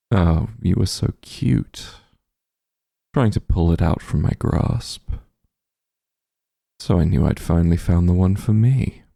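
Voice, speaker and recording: Guy doing a demon lord asmr voice, super low and crackly, slow soft teasing, possessive but doting, close dry sound. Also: no room sound, heavily acted. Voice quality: very deep, gravelly, breathy. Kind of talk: intimate ASMR roleplay acting.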